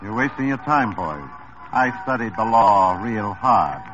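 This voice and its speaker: An actor playing a wary, evasive mob lawyer in a 1950s radio drama, speaking in a slow drawl. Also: male voice, fairly low pitch, lively intonation, a bit bright. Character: smooth, nervous male mob lawyer. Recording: dull old radio broadcast with music.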